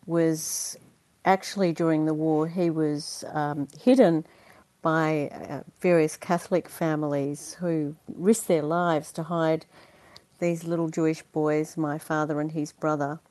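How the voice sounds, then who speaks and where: Low, mellow-voiced woman. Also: little pitch movement, measured pace, no echo. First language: Dutch. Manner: chatty and earnest, laughs easily, many fillers. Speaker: interview guest, performer. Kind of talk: conversational interview.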